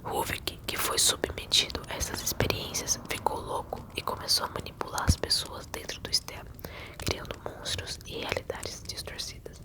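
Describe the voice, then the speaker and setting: Brazilian woman, fully whispered ASMR storyteller, soothing and intimate direct address to help a listener sleep. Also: normal speed. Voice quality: whispered, breathy, unvoiced.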